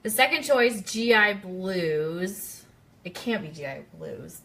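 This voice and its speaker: A woman's voice: mid-range voice, lively intonation, slow pace, pushed and bright. A woman hosting a casual live-streamed radio quiz, chatty and speaking directly to her listeners. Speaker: radio show host.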